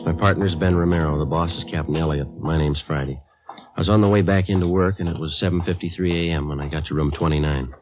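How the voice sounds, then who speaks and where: An American detective sergeant from golden-age radio. His voice is low and his delivery dry and businesslike. The old recording is dull, with music around the narration. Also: fast talker. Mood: matter-of-fact. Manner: deadpan, matter-of-fact. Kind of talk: 1950s radio acting, clipped scripted narration. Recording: muffled vintage radio, music behind.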